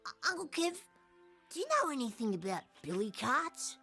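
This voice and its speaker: A woman's voice: sits high, moderate pace, faint music behind, exaggerated voice. A woman playing a cheeky, blunt, foul-mouthed young boy with an Australian accent in a film comedy-drama. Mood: friendly. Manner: deadpan, frank, innocent.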